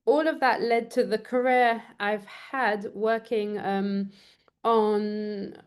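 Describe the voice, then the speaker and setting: A woman's voice: mid-range voice, steady tone, slow pace, smooth. Woman lecturing on a video call. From Trinidad and Tobago, raised in London. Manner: many ums and restarts, earnest. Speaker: law lecturer. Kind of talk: online presentation.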